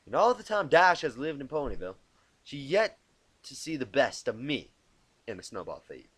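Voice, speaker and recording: A man voicing a calm, confident female farm pony with a folksy, easygoing manner in a cartoon fan story. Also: medium pitch, moderate pace, husky, no room sound. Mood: calm confidence.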